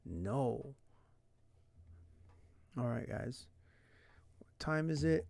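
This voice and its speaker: A man's voice: on the high side, vocal fry, clean tone, dry recording. A young man from San Diego, United States, streaming casually about language learning, rambling with lots of "like" and "right?". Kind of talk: casual livestream chat. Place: indoors at night.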